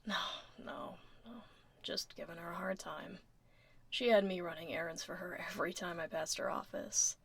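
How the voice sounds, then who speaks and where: Woman acting a low, creaky-voiced dragon office lady. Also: moderate pace, a little hiss or hum. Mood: dry, casual. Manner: casual, dry, low-key, softly spoken. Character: powerful dragon lady office worker, blunt but caring. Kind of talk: ASMR roleplay.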